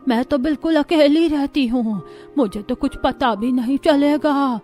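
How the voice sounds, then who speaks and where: A woman's voice: high voice, unhurried, mellow, soft background music, pitched above the performer's own voice. A woman voicing a frightened, pleading elderly village woman who lives alone, in a cartoon story. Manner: anxious, pleading. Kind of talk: animated Hindi cartoon story.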